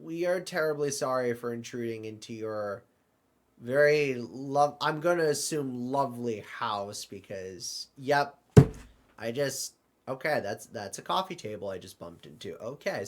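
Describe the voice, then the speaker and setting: A man playing an excitable, apologetic multiverse traveler in an audio drama, stammering and fussing through his lines. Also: mid-range voice, a little slow, lightly acted. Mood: flustered, apologetic. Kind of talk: hobby audio drama dialogue.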